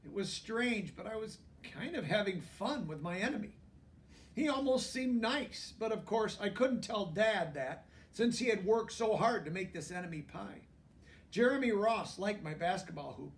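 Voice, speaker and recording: Guy with a pretty high voice reading a kids story out loud, bit far from the mic. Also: animated, normal speed. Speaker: storybook reader. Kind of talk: children's book read-aloud with brief asides.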